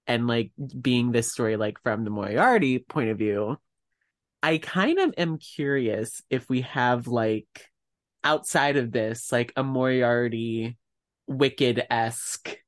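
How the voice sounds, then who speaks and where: A man with a medium-pitched voice muses as co-host of a casual book chat. His delivery is halting and conversational, recorded dry and free of echo. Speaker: podcast co-host. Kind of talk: casual literary discussion.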